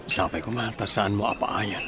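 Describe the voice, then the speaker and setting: A man's voice: lowish voice, moderate pace, background noise, lightly acted. A man with a Filipino accent playing an earnest, courteous young suitor in a radio drama. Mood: earnest, grateful.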